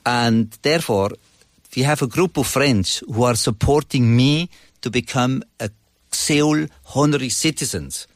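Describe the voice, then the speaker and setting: Swiss guy in his 60s being interviewed, halting non-native english, average pitch, dry close sound. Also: no room sound. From Switzerland. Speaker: ambassador, interview guest. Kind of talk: conversational interview talk.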